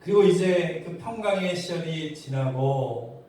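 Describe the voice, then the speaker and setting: A man's voice: fairly high pitch, expressive, a little slow, dark tone. A man preaching a sermon, putting rhetorical questions to his congregation. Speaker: pastor preaching. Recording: very echoey hall, distant mic.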